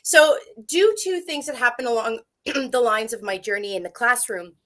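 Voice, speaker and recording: Animated, bright-voiced French-native woman. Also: a little high, moderate pace. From Canada. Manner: animated, talkative, with fillers and laughs. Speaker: guest, first aid instructor. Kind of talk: casual interview talk.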